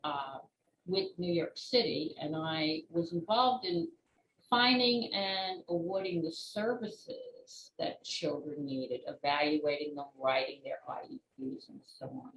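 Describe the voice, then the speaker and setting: An older woman, in her sixties or beyond, answering interview questions as an author and former teacher in a measured, explanatory way with pauses between short phrases. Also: sits low. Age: 60s or older. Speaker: children's book author and retired teacher being interviewed. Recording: roomy echo with clear background noise.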